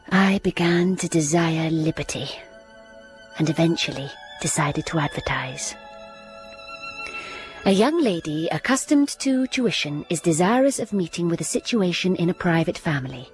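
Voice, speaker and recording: Woman as reserved young governess, low soft voice, hushed narration, music score beneath. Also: lightly acted. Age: young adult. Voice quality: soft, restrained, often near a whisper. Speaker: narrator and lead. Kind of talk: first-person drama narration.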